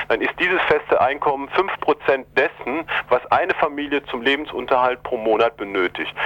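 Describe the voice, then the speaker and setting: Halting man in a dull lo-fi recording. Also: mid-range voice. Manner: halting, hesitant, searching for words. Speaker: interviewed expert on regional politics. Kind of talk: political radio interview.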